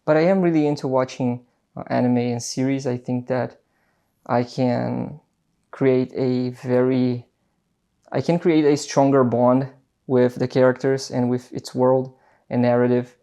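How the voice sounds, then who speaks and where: Young brazilian guy vlogging off the cuff, accented english, warm low tone, kinda monotone, lots of "like" and restarts. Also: unhurried. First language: Portuguese. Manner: rambling, frequent fillers and restarts, casual swearing. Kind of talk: unscripted casual monologue.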